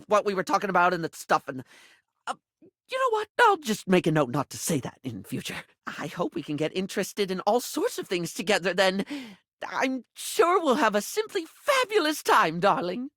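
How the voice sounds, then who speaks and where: A man's voice: high voice, a bit bright, no room sound, clean studio sound, exaggerated voice. A man voicing a flamboyant, chatty living makeup kit in a video game, gushing and rambling, camp, insecure and eager to please. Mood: flustered, then flirty, bubbly. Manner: effusive, camp, gushing, rambling, calls people darling. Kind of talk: video game character voice acting.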